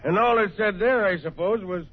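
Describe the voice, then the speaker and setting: An actor playing a jovial old American frontier ranger, telling yarns in a rustic, folksy manner in an old radio western. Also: male voice, normal speed. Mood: folksy, amused. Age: older. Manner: rustic, folksy, chuckling drawl. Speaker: storyteller. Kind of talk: 1930s radio western storytelling. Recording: tinny, noisy old radio recording with rumble.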